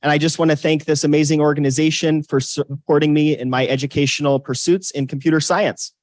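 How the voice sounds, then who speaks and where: A man's voice: fairly high pitch, steady tone, a little fast, no room sound. A man who is a student gives a grateful, friendly thank-you at a convention. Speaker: scholarship winner, student.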